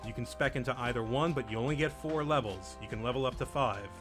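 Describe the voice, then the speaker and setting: Brief: male let's play host, relaxed explainer, game music playing underneath. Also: medium pitch, quick pace. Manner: explains game mechanics patiently. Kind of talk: casual gameplay commentary.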